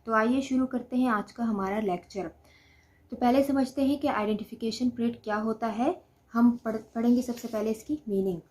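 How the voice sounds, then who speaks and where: A woman's voice: fairly high pitch, fairly even intonation, moderate pace, a little mellow. A woman with a Pakistani accent giving an explanatory law lecture, going step by step and repeating each point. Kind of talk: explanatory lecture alternating Urdu explanation with slowly read English definitions.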